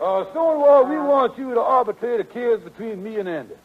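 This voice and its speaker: A man's voice: very high voice, expressive, a little fast. An actor playing a blustery small-time con man in a 1940s radio comedy, folksy and cajoling. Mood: wheedling. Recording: tinny, narrow 1940s radio recording.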